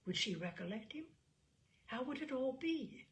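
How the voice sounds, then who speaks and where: Woman narrator with a creaky voice. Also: high-pitched, sing-song, moderate pace, a little hiss or hum. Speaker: audiobook reader. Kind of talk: literary narration of a classic novel, voicing dialogue.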